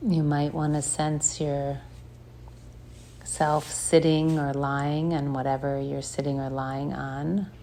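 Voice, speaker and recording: A woman leading a guided meditation, speaking softly and gently with long pauses between phrases. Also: low-pitched, flat delivery, slight background noise. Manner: slow, soft, gentle guidance with long pauses. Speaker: meditation teacher.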